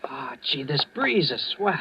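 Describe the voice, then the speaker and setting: Nervous young American, old radio. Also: male voice, fairly high pitch, very animated, measured pace, noisy background. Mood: eager, polite. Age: young adult. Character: nervous, evasive young man living a deception. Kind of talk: 1940s radio acting with first-person narration. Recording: dull old radio recording with music.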